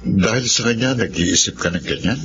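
An actor playing a caring middle-aged father, gentle and concerned, in a radio romance drama. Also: on the low side, expressive, a little fast, noisy background, close to an everyday voice. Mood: worried, tender. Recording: muffled audio under loud music.

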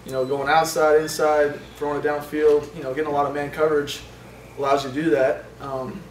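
A young man, a college quarterback at a postgame press conference, answering questions in a halting way with many fillers and restarts. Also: on the high side, little pitch movement. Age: young adult. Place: indoor press room in front of a sponsor backdrop. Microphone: table microphones from a short distance. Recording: roomy, distant sound with faint noise.

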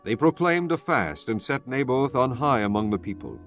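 A man's voice: medium pitch, very animated, moderate pace, fairly bright tone, soft background music. A man narrating scripture in a solemn, formal reading style for a dramatized Bible.

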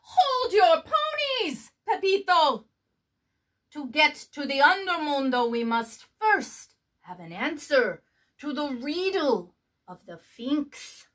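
A blustery little chihuahua boss barking orders in a storybook read-aloud, as a woman puts him on. His voice rides high and swings widely. He is small but sure he is in charge. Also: expressive, takes it slowly, a bit bright, exaggerated voice. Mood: bossy. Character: bossy male chihuahua leader, biggest of the small.

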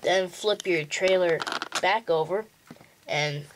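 A man's voice: high voice, a bit bright, a little hiss or hum, rough recording. A young male host giving a plain, step-by-step walkthrough for building a toy model. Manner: slow, plain instructional walkthrough. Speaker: tutorial host.

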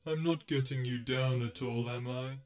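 A man voicing a gloomy, self-pitying male robot who talks in a slow, morose drone. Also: medium pitch, fairly even intonation, darkish tone, close to an everyday voice. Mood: weary, despondent. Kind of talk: audiobook character voice.

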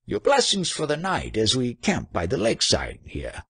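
A man's voice: mid-range voice, wildly swooping pitch, normal speed, creaky, husky, dry recording, pitched above the performer's own voice, full character voice. A man voicing a huge, boisterous and superstitious trader in a storybook, with a bellowing, effusive manner. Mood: booming, eager.

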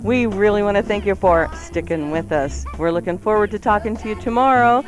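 A woman's voice: medium pitch, a little fast. A woman co-hosting a radio show with casual, playful banter. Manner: playful, giggly. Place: radio studio.

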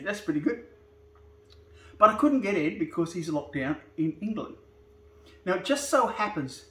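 A jokey, chatty Australian man in his sixties who talks to camera with a high-pitched voice for a man. He rambles through his stories at an easy pace, and his voice rings around a small echoey living room. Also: fairly bright tone. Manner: chatty, jokey, leisurely storytelling. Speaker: host of a comedy music channel. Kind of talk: casual comedy chat to camera. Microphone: camera on a stand at a few feet.

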